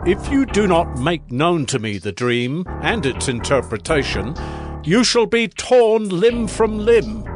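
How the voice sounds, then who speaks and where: A man's voice: a little high, sing-song, unhurried, music in the background. An actor playing an imperious, threatening king in a dramatized audio Bible, with a commanding, declamatory delivery. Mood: stern, threatening.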